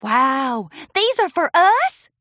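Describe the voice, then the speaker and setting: A woman's voice: extremely high pitch, big pitch swings, takes it slowly, sharp tone, no echo, clean studio sound, pitched above the performer's own voice, full character voice. A woman voicing an eager little farm filly with a cowgirl accent in a storybook reading. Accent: country cowgirl.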